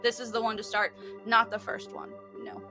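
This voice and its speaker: A woman with a fairly high voice talks casually as a guest on a chat show. She is effusive, informal and giggly, and music plays clearly behind her. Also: level tone, normal speed, pushed and bright. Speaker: interview guest.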